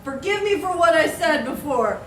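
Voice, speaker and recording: A woman's voice: high voice, animated, normal speed, slight background noise. A woman improvising a stressed, overworked woman at a police station, talking in a frazzled, rambling way. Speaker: improv performer. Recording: echoey stage from a distance, loud audience.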